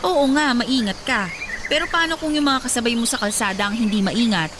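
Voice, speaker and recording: High-voiced young Filipina fiancée, acted by a woman. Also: fairly quick, noisy background, natural voice. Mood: gently worried. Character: shy but practical, caring young Filipina fiancée. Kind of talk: radio drama acting. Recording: loud music behind the voice.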